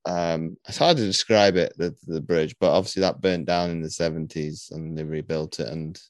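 A man's voice: very deep voice, fairly even intonation, moderate pace, dry recording. A man hosting a podcast interview, talking casually and rambling, often searching for words and restarting. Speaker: podcast host.

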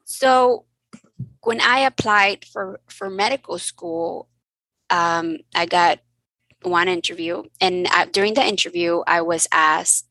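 Bright, animated woman telling stories. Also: on the low side, slow pace, dry recording, poor audio. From Mexico. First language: Spanish. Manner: animated, laughing storyteller. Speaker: guest physician. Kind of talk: casual storytelling interview.

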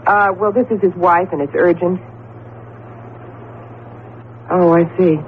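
Woman as a brisk wife on tinny old radio. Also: lowish voice, a little hiss or hum, natural voice. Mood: businesslike. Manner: playful scolding, expressive, affectionate. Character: affectionate, teasing, brisk professor's wife. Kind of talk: 1970s radio drama acting.

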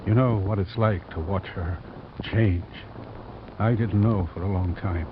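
A very deep-voiced father, ageing, sombre and protective, in vintage radio drama style. He speaks in a grave, halting way. The recording is thin and badly band-limited, with strong noise. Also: steady tone, narrow, tinny audio. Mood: weary confession. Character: weary ageing father, protective of his grown daughter, sombre. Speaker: supporting actor in a radio drama. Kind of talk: 1940s-50s American radio acting.

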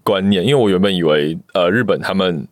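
A man's voice: sits low, fast talker, dry recording, studio quality. A man with a Taiwanese Mandarin accent co-hosting a casual podcast chat. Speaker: podcast co-host.

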